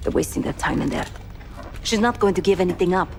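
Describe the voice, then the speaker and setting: A woman's voice: on the low side, fast, creaky, a little hiss or hum. A woman playing a brusque, skeptical female covert operative in a cinematic video game. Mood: sardonic.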